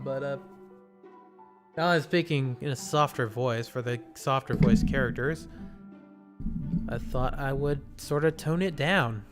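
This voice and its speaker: A man streaming, chatting casually and rambling in a self-deprecating way. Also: mid-range voice. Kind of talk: casual live stream talk. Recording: music playing behind.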